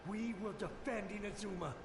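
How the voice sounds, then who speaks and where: An actor playing a desperate, frightened samurai soldier in a video game, pleading and halting with dramatic fervour. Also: male voice, sits high, vocal fry, music in the background. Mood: desperate warning. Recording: noisy roomy game stream capture.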